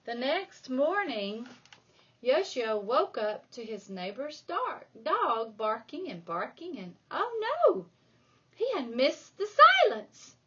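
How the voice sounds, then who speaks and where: Slow, expressive woman reading a storybook. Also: sits high, slightly rough, muffled recording. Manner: dramatic emphasis, drawn-out key words. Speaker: primary school staff member reading aloud. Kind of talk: expressive children's storybook narration.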